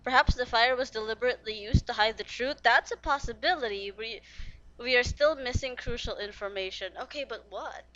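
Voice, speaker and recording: A woman's voice: a little high, brisk, a bit bright, muffled recording. A woman doing casual game playthrough commentary, chatting and musing aloud as she reacts to the characters. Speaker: game streamer.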